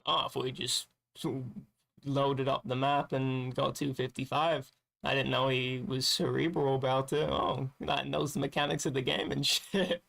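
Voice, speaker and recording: A man streaming a game, his voice raspy and thick with gravelly fry. He sounds tired and rambles loosely, dropping casual swears as he chats. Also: mid-range voice, flat delivery, normal speed, a bit bright. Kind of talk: casual gaming stream chat.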